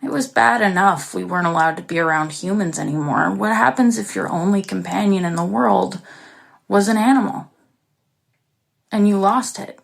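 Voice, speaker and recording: A woman's voice: mid-range voice, normal speed, creaky. An actress playing an isolated, anxious young woman who recounts her story in an intimate, confessional way for an audio drama. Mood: wistful. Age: young adult. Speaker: actress performing a monologue. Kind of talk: dramatic first-person audio drama narration.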